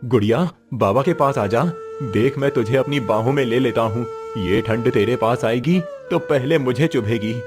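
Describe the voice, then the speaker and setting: A man's voice: medium pitch, moderate pace, music in the background, natural voice. A man playing a poor, protective father in a cartoon story, earnest, solemn and resolute. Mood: tender, protective.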